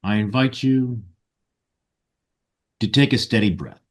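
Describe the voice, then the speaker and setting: A man leading a guided meditation and ceremonial invocation in solemn, deliberate short phrases. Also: medium pitch, animated. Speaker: meditation guide and ritual leader.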